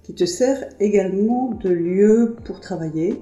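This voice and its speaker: A woman interviewer who reads a formal introduction and then asks questions in a measured way. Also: mid-range voice, mellow. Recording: roomy sound with faint music.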